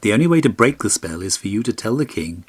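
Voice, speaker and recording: A man's voice: fairly low pitch, sing-song, fast, breathy edge. A man voicing a magical talking pony, really an enchanted prince, giving commands and questions in a storybook. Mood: solemn explaining.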